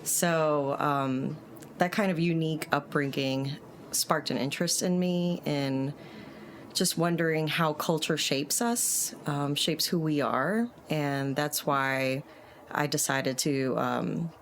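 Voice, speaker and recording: Middle-aged woman from Puerto Rico, very low voice, soft-spoken guest with faint hiss. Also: fairly even intonation, takes it slowly. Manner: soft-spoken, many fillers. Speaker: guest psychologist. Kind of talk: casual interview.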